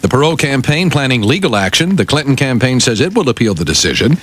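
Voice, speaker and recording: A man's voice: medium pitch, very animated, quick pace, fairly bright tone, slight background noise. A man reading the news on a morning radio show, articulate and emphatic, with touches of banter. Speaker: radio newsreader and on-air sidekick.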